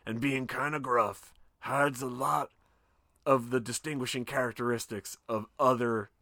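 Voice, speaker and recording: An animated young man talks casually about movies as a podcast co-host, swearing freely and slipping into mocking impressions. His voice sits at an ordinary middle pitch. The sound is dry and close, with no room echo. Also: measured pace. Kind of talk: casual film discussion.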